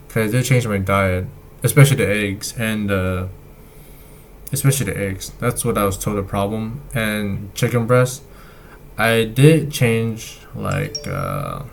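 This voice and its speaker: A young man giving a casual health update as a vlogger, talking in a halting way with many fillers and restarts. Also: fairly low pitch, a little hiss or hum. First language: not Chinese.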